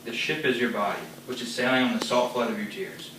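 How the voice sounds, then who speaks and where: A man's voice: mid-range voice, a little fast, background noise, natural voice. An actor playing a domineering older nobleman father who scolds and rants at his daughter. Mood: mocking. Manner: scolding, ranting. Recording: echoey room from far off, faint ambience.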